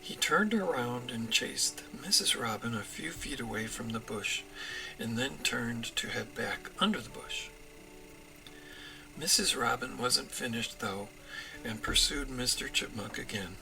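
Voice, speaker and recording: A man narrates a children's storybook expressively and takes his time. His voice is very bright and forceful with a creaky edge, over faint music. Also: medium pitch, lively intonation, measured pace, poor audio. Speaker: author reading own storybook.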